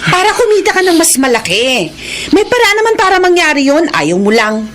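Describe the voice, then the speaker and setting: A woman's voice: very high-pitched, very animated, fairly quick, a bit bright, slight background noise, exaggerated voice. An actress playing a demanding, sulky wife and mother in a Filipino radio drama, insistent in manner. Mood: sulky, irritated. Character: demanding, nagging wife and mother who wants more money.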